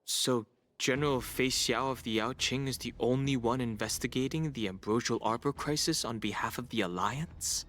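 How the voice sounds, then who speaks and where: Man acting a calm, reserved young warrior. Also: medium pitch, moderate pace, hardly any pauses, a little hiss or hum, lightly acted. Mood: calm, serious. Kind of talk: anime-style game voice acting.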